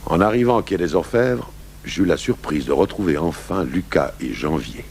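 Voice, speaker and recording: An actor playing a gruff, weary French police commissioner narrating his own case in a 1980s radio drama. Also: low-pitched, lively intonation, moderate pace, darkish tone. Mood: measured, wry. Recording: noisy old radio broadcast recording.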